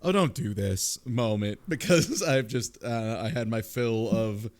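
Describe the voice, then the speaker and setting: A man's voice: mid-range voice, a little slow, a little mellow. A man in casual podcast conversation, giving frank, mock-exasperated reactions as a panelist. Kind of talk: casual chat.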